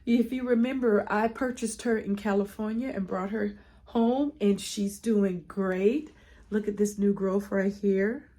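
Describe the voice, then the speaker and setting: A woman's voice: medium pitch, unhurried, dark tone. A woman giving a casual plant collection tour, congested from a cold, chatty with frequent ums and restarts. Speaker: plant vlogger.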